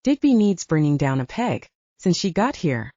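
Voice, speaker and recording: A stern male leader of a werewolf army unit, voiced by a female narrator, from an audiobook. The delivery is curt and commanding, on the low side and soft-edged in tone, with a slightly muffled recording. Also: big pitch swings, normal speed, dry recording. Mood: grim, demanding. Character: stern, short-tempered male leader of a werewolf military team.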